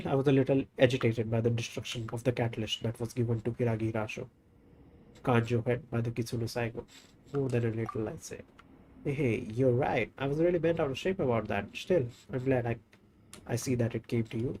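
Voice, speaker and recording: A man who speaks natively, streaming a game and reading its dialogue aloud in a halting way, correcting himself as he goes. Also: mid-range voice, darkish tone, slight background noise, low-quality recording. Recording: background game music. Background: music.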